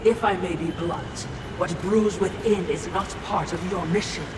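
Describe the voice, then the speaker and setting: A man's voice: steady tone, measured pace, background noise. A man voicing an obsequious, sardonic spirit servant in a video game, speaking in a formal, snide and fawning way. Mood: snide, musing. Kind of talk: theatrical video game dialogue. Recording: echoey, distant, loud rumbling game ambience.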